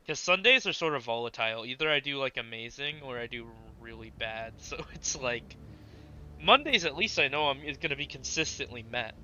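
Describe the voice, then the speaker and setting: Hoarse, bright-voiced male streamer. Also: mid-range voice, lively intonation, brisk, lo-fi sound, slight background noise. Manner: rambling, chatty, talks back to chat. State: hoarse, losing his voice. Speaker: game streamer. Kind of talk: casual gaming stream commentary.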